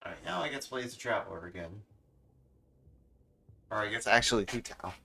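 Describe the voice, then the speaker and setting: A man's voice: lowish voice, expressive, a little fast, sharp tone. A man giving casual live commentary as he streams a video game. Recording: clear background noise with clicks.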